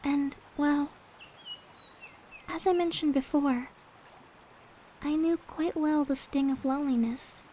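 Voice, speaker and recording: A woman playing a gentle, devoted young maid companion in an ASMR roleplay, speaking in a soft, polite, tender way. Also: high-pitched, steady tone, slow pace, pauses often, slight background noise. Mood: wistful, tender. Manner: polite, tender, demure storytelling. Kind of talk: ASMR roleplay, soft intimate character acting.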